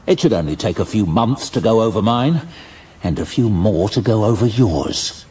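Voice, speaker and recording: A male narrator voicing a wry, determined older male archmage who stays calm under pressure. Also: lowish voice, normal speed, recorded from a distance, natural voice. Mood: wry, light. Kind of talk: audiobook character voice.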